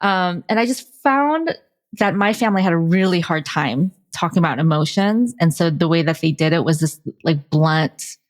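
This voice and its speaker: A woman's voice: on the low side, animated, moderate pace. A woman hosting a podcast, talking casually and personally with a guest in loose, conversational phrases. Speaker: podcast host.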